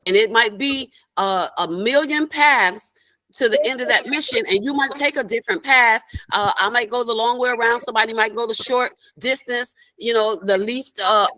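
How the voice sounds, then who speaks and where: A woman's voice: a little high, moderate pace, pushed and bright, rough recording. A woman giving an earnest, rallying talk to a group on a call. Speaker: webinar participant.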